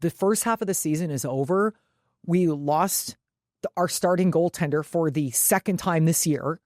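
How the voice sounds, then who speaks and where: A woman's voice: low-pitched, moderate pace, a little mellow, dry recording, clean studio sound. Woman in casual, emphatic sports chat. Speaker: podcast co-host.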